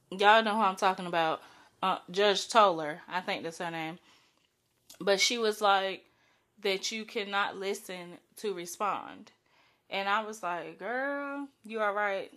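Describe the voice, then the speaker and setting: Bright-voiced woman with a cold. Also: mid-range voice. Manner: chatty, frank, uses slang and light swearing. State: sick with a cold, voice strained. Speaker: podcast host. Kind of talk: casual solo podcast monologue.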